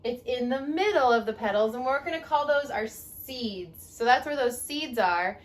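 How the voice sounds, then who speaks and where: A woman teaching young children as a mother at home, reading a picture book expressively and pausing to ask simple questions. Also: on the high side. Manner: expressive, instructive, asks leading questions.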